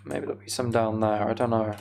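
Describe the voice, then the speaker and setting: British man with a creaky voice. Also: lowish voice, steady tone, quick pace, a little mellow, a little hiss or hum. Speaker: game streamer. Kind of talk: casual Minecraft playthrough commentary, chatting with viewers.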